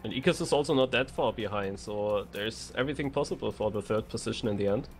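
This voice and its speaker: A man's voice: on the low side, quick pace, soft background music. A man giving conversational live commentary on a racing game as a co-caster. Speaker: esports co-commentator.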